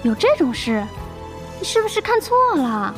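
A woman plays a nosy office gossip in an audio drama. She is brisk, with a high voice that swings up and down, over clearly audible music. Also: sing-song. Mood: curious, dismissive. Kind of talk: Chinese audio drama acting.

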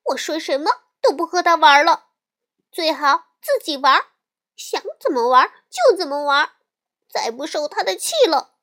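A woman voicing an angry, bossy little girl bear cub who shouts indignantly. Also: extremely high pitch, unhurried, pitched above the performer's own voice.

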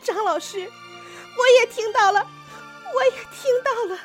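A woman's voice: extremely high pitch, unhurried, soft background music. An actress playing a grateful, sympathetic mother in a radio drama, speaking in a conversational way. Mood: excited. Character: grateful, sympathetic mother of a special-needs child.

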